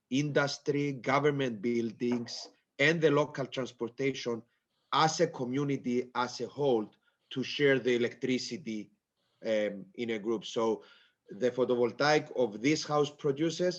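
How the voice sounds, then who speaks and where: A man from Cyprus with a stuffy nose, giving a conference panel talk over a video call, halting and explanatory with many fillers. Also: mid-range voice, lo-fi sound. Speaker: panel speaker.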